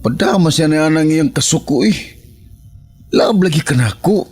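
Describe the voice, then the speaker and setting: A man's voice: a little high, animated, normal speed, darkish tone, slightly rough. A man with a Cebuano accent acting an irresponsible, pleading husband who coaxes and whines in a radio drama. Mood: coaxing. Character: irresponsible, wheedling husband, always broke and pleading.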